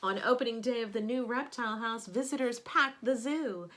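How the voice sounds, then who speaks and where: A woman reading a picture book aloud like a teacher at bedtime, with expressive emphasis on key words. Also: fairly high pitch, normal speed.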